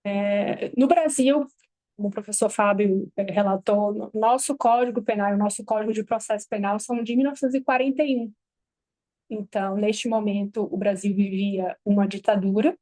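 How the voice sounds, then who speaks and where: A Brazilian woman, a lawyer and native Portuguese speaker, giving a halting, measured academic talk at a panel table microphone. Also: medium pitch, steady tone, warm tone, no room sound. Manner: measured, halting, many pauses. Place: university event hall.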